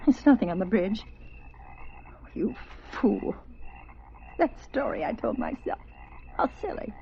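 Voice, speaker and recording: An actress playing an anxious woman walking alone at night in a vintage radio suspense play, talking to herself in halting, breathy, often whispered phrases. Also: mid-range voice, a little hiss or hum. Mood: panicked. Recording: muffled old radio recording with music.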